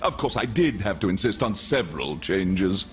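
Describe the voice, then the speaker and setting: A grandiose alien tyrant. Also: male voice, deep voice, big pitch swings, normal speed, faint music behind. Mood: boastful. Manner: grandiose, relishing his own performance. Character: pompous alien emperor, theatrical, self-admiring. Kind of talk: video game cutscene acting.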